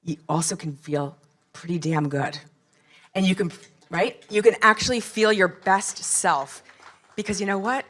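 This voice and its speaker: A woman's voice: mid-range voice, measured pace, fairly bright tone. A woman in her fifties, a nonprofit founder giving candid, chatty closing remarks to a live audience and rallying them with questions. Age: 50s.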